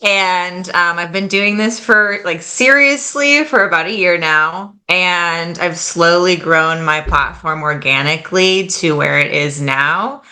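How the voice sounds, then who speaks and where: A woman in her late twenties chatting as a podcast guest, her voice slightly low-pitched yet very bright and forward. She talks loosely and casually, scattering "like" and "kind of" through her sentences. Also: takes it slowly, hardly any pauses. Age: late 20s. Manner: chatty, fillers like "like" and "kind of", laughs easily. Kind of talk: casual interview chat.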